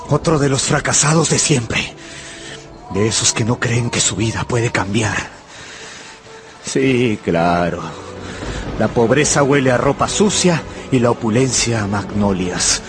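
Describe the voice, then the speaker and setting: A man's voice: mid-range voice, lightly acted. An actor playing a bitter, obsessive ruined gambler in a radio drama, brooding and dramatic as he talks to himself and looks back. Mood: bitter, scornful. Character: bitter, obsessive ruined male gambler recalling his youth. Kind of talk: radio drama monologue and narration. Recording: music behind the voice.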